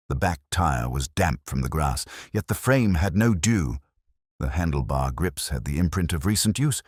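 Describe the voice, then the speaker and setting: Guy doing a weary older inspector telling his case, super deep husky voice, wry and measured, radio mystery style. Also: dry recording, studio quality, exaggerated voice. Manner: measured, wry, reflective, literary phrasing. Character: world-weary, literate male English police inspector narrating his case. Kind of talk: scripted first-person detective narration.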